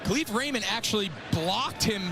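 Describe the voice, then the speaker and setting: A man's voice: sits high, expressive, normal speed, over music. An American man giving explanatory, conversational analysis as a television color commentator. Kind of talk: broadcast tactical analysis. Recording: very noisy game audio relayed through stream.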